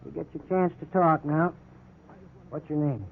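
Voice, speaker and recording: Sneering high-voiced cop, old radio. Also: male voice, normal speed, phone-quality sound. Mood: smug, eager. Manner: gruff, sneering, barking orders. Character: bullying, cocksure small-town police officer eager for glory. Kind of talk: 1950s radio drama acting. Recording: thin band-limited 1950s radio recording, hiss.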